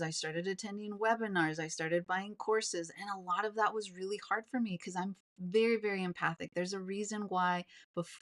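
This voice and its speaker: A woman in her forties giving a live-streamed coaching talk, calm and fairly even, earnest and personal, often repeating words and restarting sentences. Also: lowish voice. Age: 40s. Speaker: business coach.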